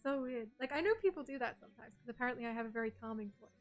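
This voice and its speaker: Soft-voiced Australian woman streamer. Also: a little high, rapid-fire, soft background music. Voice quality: soft, breathy voice. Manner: chatty, reads chat messages aloud and answers them. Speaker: game streamer. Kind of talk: casual chat with stream viewers.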